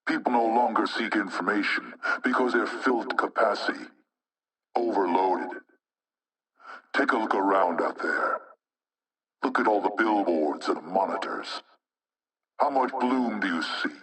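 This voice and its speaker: An actor playing an anonymous male hacktivist spokesman in a video game propaganda broadcast, speaking in a measured, rhetorical, insinuating way with menace. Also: on the low side, fairly bright tone, recorded from a distance, low-quality recording. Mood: ominous, preaching.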